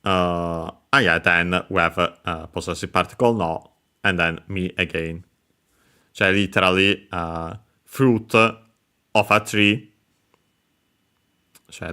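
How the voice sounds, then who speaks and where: A man explaining Japanese grammar, pausing often with filler sounds as he works through each word. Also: low-pitched, sharp tone, smooth. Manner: hesitant, many filler sounds, careful explanation. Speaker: Japanese language teacher. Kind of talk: explaining grammar over gameplay.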